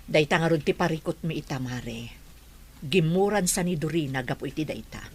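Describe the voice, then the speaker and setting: A woman's voice: sits low, moderate pace, a little hiss or hum. An actress playing a worried middle-aged mother in a radio drama, anxious and pleading.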